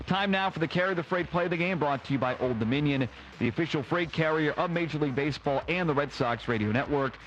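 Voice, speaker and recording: A man's voice: on the high side, quick pace, hardly any pauses, a bit bright, muffled recording. A man hosting a radio post-game show, recapping games and reading sponsor spots. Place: ballpark broadcast. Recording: clear background noise and ambience.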